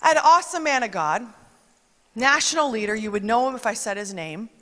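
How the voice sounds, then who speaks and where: A woman's voice: on the high side, animated, a little fast, sharp tone. A woman preaching a conversational sermon, emphatic and chatty, with asides that quote other people. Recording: long reverb of a large hall.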